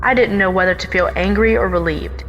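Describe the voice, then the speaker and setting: A woman's voice: mid-range voice, fairly quick, a bit bright, lo-fi sound. A woman reading a scripted first-person horror story as its narrator. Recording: long reverb, prominent music bed.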